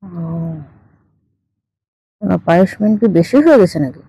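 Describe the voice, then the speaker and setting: A woman's voice: mid-range voice, animated, normal speed, mellow, dry recording. A woman with a Bangladeshi accent playing a teasing, demanding older aunt in an improvised phone-call drama. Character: teasing, demanding older aunt who owns a fish farm.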